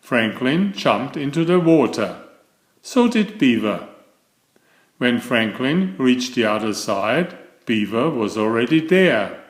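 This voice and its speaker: Slow, expressive male storybook reader. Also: mid-range voice. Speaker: storybook narrator. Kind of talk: scripted children's bedtime story narration. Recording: echoey room.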